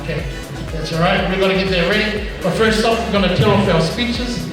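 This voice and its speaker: Joking wedding MC, echoey banquet hall. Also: male voice, sits high, brisk. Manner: jokey, relaxed, hyping the crowd. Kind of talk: MC announcements at a wedding reception. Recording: echoey PA in a big hall, from far off. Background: music and crowd chatter.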